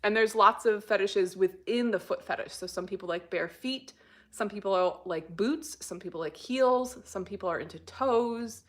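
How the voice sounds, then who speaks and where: An American woman on a casual live stream, reading out viewer comments and answering them in a relaxed, unhurried way with plenty of fillers. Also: medium pitch. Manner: reads viewer comments aloud.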